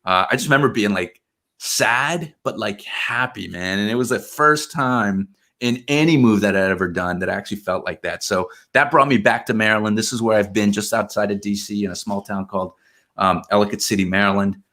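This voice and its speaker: Man, 30s, from Argentina. Podcast guest. Lowish voice, easygoing storyteller, casual fillers throughout. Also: fairly quick. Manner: relaxed storytelling, many fillers like "man" and "you know". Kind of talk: casual conversational interview.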